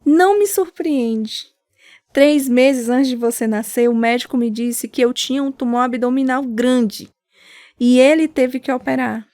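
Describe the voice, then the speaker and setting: A woman's voice: high-pitched, a little slow, darkish tone, no room sound, studio quality. A woman playing a devout, loving mother in a radio drama, speaking earnestly and tenderly. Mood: tender, solemn. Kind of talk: scripted radio drama dialogue.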